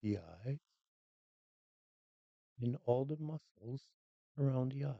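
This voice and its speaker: A meditation guide speaking calmly and slowly in short, unhurried phrases with long pauses, leading a scripted relaxation. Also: mid-range voice, no room sound, dull audio.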